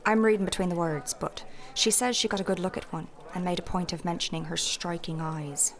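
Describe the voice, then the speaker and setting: A woman's voice: fairly low pitch, fairly even intonation, a little fast, lightly acted. A woman playing a shrewd, candid innkeeper in a fantasy audio drama, with a folksy, thoughtful way of talking. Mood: confiding, shrewd. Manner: plain-spoken, folksy, thoughtful.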